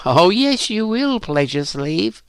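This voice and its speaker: A man's voice: mid-range voice, wildly swooping pitch, takes it slowly, no echo, heavily acted. A man voicing a forthright young woman in an audiobook, with a teasing, assured manner.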